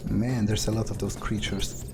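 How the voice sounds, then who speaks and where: A man's voice: lowish voice, little pitch movement, normal speed, over music. A man doing in-character game commentary, thinking aloud in a musing, hesitant, casually sweary way. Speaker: video game player narrating in character.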